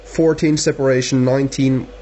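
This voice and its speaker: A man with a Norwegian accent chatting casually as a radio operator, halting and repeating words. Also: mid-range voice, fairly even intonation, warm tone. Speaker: amateur radio operator filming the contact. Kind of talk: casual two-way radio chat. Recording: dull lo-fi camera sound, faint hiss.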